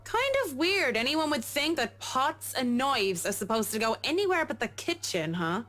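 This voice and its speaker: A woman's voice: high-pitched, very animated, normal speed, fairly bright tone. An actress playing a wry, jumpy young female architect in a visual novel game. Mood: puzzled, wry.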